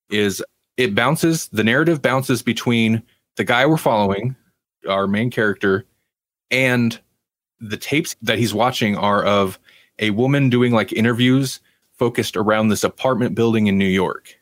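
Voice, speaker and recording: A man with a fairly low voice co-hosts a casual review podcast. He talks in a rambling, conversational way, and the sound is dry with very little room in it. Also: no echo. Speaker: podcast co-host. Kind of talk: casual review chat about TV.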